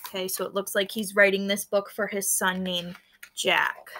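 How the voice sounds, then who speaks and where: A woman teacher reading a book aloud to fourth graders, pausing to explain and prompting them to notice and wonder. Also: mid-range voice, level tone, pushed and bright. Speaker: elementary school teacher. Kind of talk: read-aloud lesson for fourth graders, explaining as she goes.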